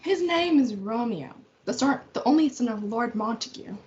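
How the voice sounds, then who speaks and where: A woman's voice: fairly high pitch, expressive, normal speed, darkish tone, natural voice. A young woman playing a matter-of-fact female nurse and servant in a student reader's theatre performance. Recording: dull audio, faint noise.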